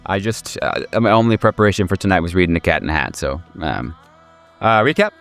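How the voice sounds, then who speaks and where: Deep, clear-voiced man narrating a horror game. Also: big pitch swings, a bit bright, soft background music. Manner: halting, searching delivery with repeated words. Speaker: game master.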